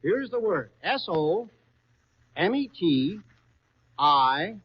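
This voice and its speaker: A man's voice: high voice, sing-song, very slow, breathy edge. A man hosting an old radio quiz, coaxing contestants along with repeated questions and exclamations. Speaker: quiz show host. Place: radio studio before an audience. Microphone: stage broadcast microphone. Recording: muffled, lo-fi 1939 radio broadcast.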